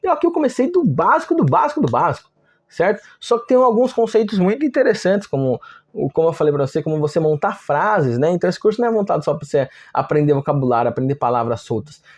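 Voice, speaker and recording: A man's voice: fairly high pitch, very animated, quick pace, a little mellow, slightly rough. A man with a Brazilian accent teaching an informal online lesson, explaining step by step in a conversational way with frequent check-in questions. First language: Portuguese. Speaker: language teacher.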